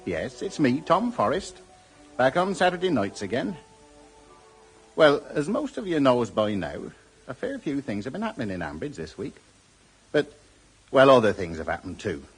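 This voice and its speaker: A man's voice: medium pitch, very animated, moderate pace, long pauses, breathy edge, soft background music, poor audio. An actor playing an old-fashioned countryman with a rural West Country English accent, chattily narrating village news in a radio drama. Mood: folksy, musing. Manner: chatty, homespun, rambling rustic. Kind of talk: radio drama storytelling monologue.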